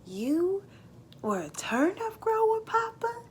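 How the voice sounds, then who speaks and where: A woman voicing an eager young farm son in a storybook tale, wondering and excitable, speaking in a folksy dialect. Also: very high-pitched, slow pace, a little hiss or hum, voice pushed up, heavily acted. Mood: amazed.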